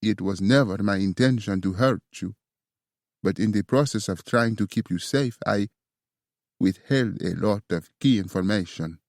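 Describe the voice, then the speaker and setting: A man voicing a low, earnest assassin lover with an Italian accent. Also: normal speed, a little mellow, no room sound, clean studio sound, close to an everyday voice. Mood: remorseful. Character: remorseful, protective young male assassin lover.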